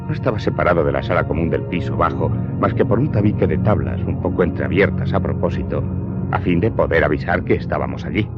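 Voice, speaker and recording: A man's voice: low-pitched, lo-fi sound. A man playing a wary smuggler who recounts his past as dramatic narration in a radio play.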